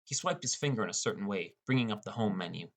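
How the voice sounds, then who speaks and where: A man's voice: expressive, fast, creaky. A man reading a first-person fantasy story as an audiobook narrator. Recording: rumbly background noise.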